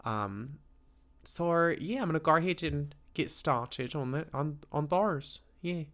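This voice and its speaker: A man's voice: fairly high pitch, unhurried, a bit bright. A man putting on an Australian accent, rambling in a drowsy, trailing way about heading off to bed. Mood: sleepy rambling. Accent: put-on Australian.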